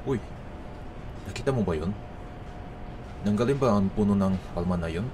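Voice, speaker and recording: A man playing a startled, alarmed witness in an animated horror story. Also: fairly low pitch, normal speed, noisy background, natural voice. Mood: alarmed, disbelieving.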